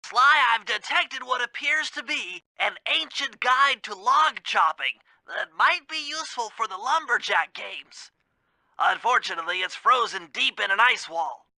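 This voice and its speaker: A man's voice: very high-pitched, animated, a little slow, creaky, pushed and bright, no echo, full character voice. A man voicing a fussy, brainy gadget expert in a video game, speaking in a precise, formal, instructive way. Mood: earnest, instructive. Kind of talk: video game mission dialogue.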